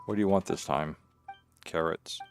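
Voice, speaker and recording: A man's voice: low voice, normal speed. A man hosting a livestream, casually commentating and half talking to himself as he plays a video game. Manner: rambling, talks to himself while playing. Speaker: livestream host. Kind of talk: casual gaming stream commentary. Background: game music.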